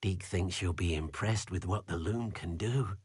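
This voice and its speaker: A man's voice: deep voice, unhurried, darkish tone, breathy edge, dry recording, studio quality, full character voice. An actor playing a kindly, eager male house-elf helper in a video game, explaining things patiently and referring to himself in the third person. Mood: encouraging, pleased. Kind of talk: scripted video game character dialogue.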